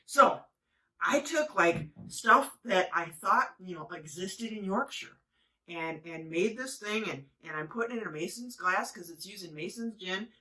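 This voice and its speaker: A woman's voice: mid-range voice, expressive, measured pace, sharp tone. A woman from the Midwest, chatting casually and rambling a bit as she mixes cocktails on her own show. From Midwest of the United States. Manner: chatty and rambling, with frequent fillers and asides. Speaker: home cocktail show host. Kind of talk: casual how-to chat while mixing drinks. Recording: voice a bit distant from the mic.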